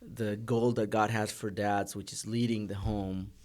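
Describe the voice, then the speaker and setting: A man's voice: fairly low pitch, little pitch movement, unhurried, darkish tone. A man in his thirties, originally from Argentina, speaking earnestly as a guest in a casual podcast conversation.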